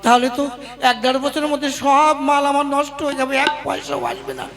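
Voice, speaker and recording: A man's voice: very high voice, flat delivery, quick pace, vocal fry, full character voice. A man voicing an anxious ship trader in a puppet cartoon who begs and haggles with an official. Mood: pleading. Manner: pleading, worried, haggling. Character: anxious male ship trader carrying produce. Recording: voice over loud music.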